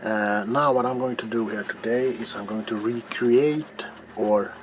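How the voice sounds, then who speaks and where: Middle aged guy doing asmr craft talk, really slow and soft, noisy background. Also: mid-range voice, fairly even intonation. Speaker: ASMR video maker. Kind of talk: ASMR craft tutorial, casual narration. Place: small cluttered indoor home workroom. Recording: clear background room noise.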